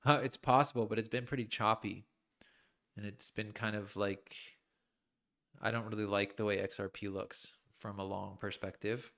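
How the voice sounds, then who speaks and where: A man's voice: fairly low pitch, fairly even intonation, normal speed, creaky, a bit bright. A man streaming live chart analysis, thinking aloud informally in fragments, with a voice that is starting to give out. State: losing his voice, clearing his throat. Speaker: crypto trading streamer.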